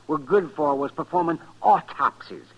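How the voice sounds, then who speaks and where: High-pitched, crusty frontier doctor. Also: male voice, moderate pace, husky. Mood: needling. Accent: American. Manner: cantankerous, teasing, animated. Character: crusty, needling, talkative frontier town doctor. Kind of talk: old-time American western radio acting. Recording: noisy vintage radio recording.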